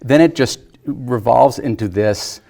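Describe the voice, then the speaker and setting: A man's voice: medium pitch, measured pace, gravelly, dark tone. A middle-aged man from Philadelphia talking casually about film as a guest on a show. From Philadelphia, United States. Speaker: guest, local newspaper employee.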